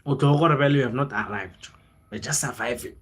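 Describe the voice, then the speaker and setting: A young man with a South African accent talks casually to his livestream audience in a mid-pitched voice. He rambles in a motivational way, leaning on slang and repetition. Now and then he switches into local languages. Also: brisk, fairly bright tone, breathy edge. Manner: informal, slangy, code-switches into local languages, repeats phrases. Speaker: livestreamer, content creator.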